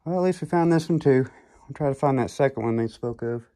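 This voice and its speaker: A man's voice: medium pitch, fast, mellow, no room sound. A man out of breath from walking, narrating a casual outdoor vlog as he explores. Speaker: vlogger exploring caves. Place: outdoors in woods and hillsides. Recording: handheld outdoor recording with clear noise.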